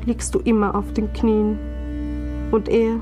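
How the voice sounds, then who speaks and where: A woman reciting poetry in a measured, solemn manner. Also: mid-range voice, little pitch movement. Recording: music under the voice.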